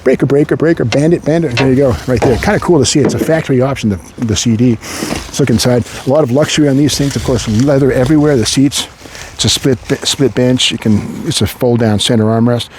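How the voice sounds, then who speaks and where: Man, mid-pitched voice, off-the-cuff car commentary, rambling, wry asides, faint noise behind. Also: a little fast. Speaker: car show host. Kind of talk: unscripted walkaround car commentary.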